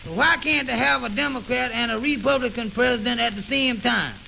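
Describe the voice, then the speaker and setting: A man playing a naive, slow-witted, questioning fellow in a 1920s radio comedy, with puzzled, halting, folksy ungrammatical talk on an old recording. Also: very high-pitched, vocal fry, pushed and bright, highs cut off, slight background noise, exaggerated voice. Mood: indignant.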